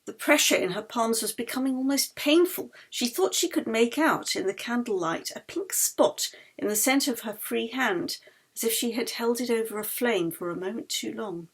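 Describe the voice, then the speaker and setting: A woman author reading her own novel aloud in a measured, expressive way. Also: a little high, breathy edge. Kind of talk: audiobook narration.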